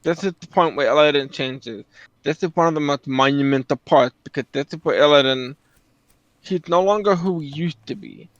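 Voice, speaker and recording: A man's voice: a little high, moderate pace, no room sound. A man commenting casually on a game story, explaining it in conversation. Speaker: reaction video commentator. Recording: slightly dull, close dry sound.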